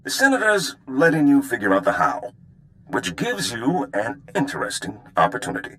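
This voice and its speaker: Easygoing underworld operative, game character, animated pitch, forward bright tone, sly. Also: male voice, normal speed, rough recording. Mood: briefing, wry. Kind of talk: video game acting.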